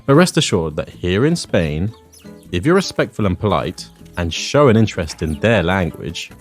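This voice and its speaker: Language-course host, London man, deep and expressive, unhurried, patient with learners. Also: soft background music. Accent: London English. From England. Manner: friendly, clear, teaching pace with repeat-after-me pauses. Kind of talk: presenting a Spanish lesson. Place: outdoors in a public garden.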